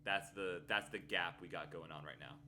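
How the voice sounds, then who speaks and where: A man hosting a live stream, chatting playfully with sudden excited jumps in his voice. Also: low voice, normal speed, slight background noise. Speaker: livestream host. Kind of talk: casual live stream chat.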